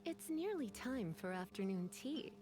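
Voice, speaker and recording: A languid young woman from a video game, speaks with a lively, swooping lilt. She is leisurely and teasing as she coaxes, with clear noise behind her voice. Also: fairly high pitch, unhurried. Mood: idle, coaxing. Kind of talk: video game character voice lines.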